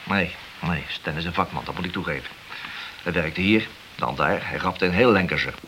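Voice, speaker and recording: A man playing an ambitious, impatient and cynical police detective in a radio play, gruff and argumentative. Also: sits low, normal speed, pushed and bright. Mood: bitter, grudging. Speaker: radio drama actor. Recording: hissy, noisy old radio recording.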